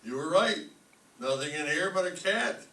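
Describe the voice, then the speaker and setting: A man's voice: a little high, lively intonation, normal speed. A man playing a bossy, blustering, easily flustered school principal in a radio comedy. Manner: officious, curt, exasperated. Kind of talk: old-time radio comedy acting. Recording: echoey room, distant microphone, faint noise.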